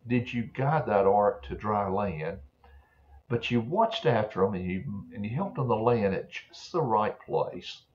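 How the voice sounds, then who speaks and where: An elderly man in his seventies, a preacher speaking gently and earnestly to children as he shares Bible stories and prayers. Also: on the low side. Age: 70s. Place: small home office, indoors. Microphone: computer webcam at desk distance. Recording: dull, muffled webcam-style sound.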